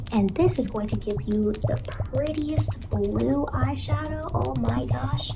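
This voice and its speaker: A woman acts out a creepy ice cream truck clown in an ASMR horror roleplay, doting and coaxing. The voice is highish and soft, often slipping into whispers and soothing repetitions. Clear background noise sits behind it. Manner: coaxing, soothing, repeats short phrases.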